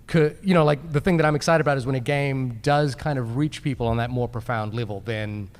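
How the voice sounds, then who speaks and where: A man's voice: medium pitch, brisk. A man speaking casually and thoughtfully on a live panel in a large convention hall.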